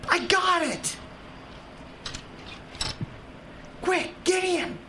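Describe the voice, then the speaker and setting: A man's voice: extremely high pitch, lively intonation, slow pace, pushed and bright, full character voice. A man playing an eager, stubborn, teasing young husband in an audio drama. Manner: eager, coaxing. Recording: clearly noisy amateur radio drama recording.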